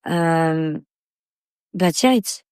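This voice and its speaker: A woman's voice: low-pitched, expressive, extremely slow, a little mellow, smooth, no room sound, clean studio sound. A woman from Paris, France, chatting casually as a guest on a podcast. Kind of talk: casual chat.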